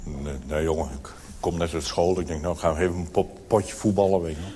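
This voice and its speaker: A man voicing a slangy, aggrieved schoolboy in a comic cabaret monologue, halting and repeating the starts of words. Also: deep voice, fairly even intonation, mellow, long reverb tail, slight background noise, pitched below the performer's own voice, lightly acted. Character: slangy schoolboy, hesitant and aggrieved, telling his story.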